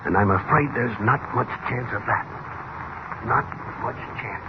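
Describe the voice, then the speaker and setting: An actor playing a cautious, commanding police commissioner, grave and urgent, in a 1940s radio serial. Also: male voice, medium pitch, a little slow, close to an everyday voice. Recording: muffled, noisy old radio recording.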